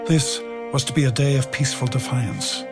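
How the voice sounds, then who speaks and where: An actor as a solemn radio-drama narrator, reciting poetic lines in a measured way. His pitch is medium, and loud fiddle music plays behind him. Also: lively intonation, close to an everyday voice.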